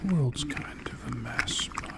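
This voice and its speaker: A man speaking in a soft, breathy whisper, chatting casually and low-key as an ASMR host. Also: unhurried, very noisy. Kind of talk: soft-spoken ASMR, rambling casual chat.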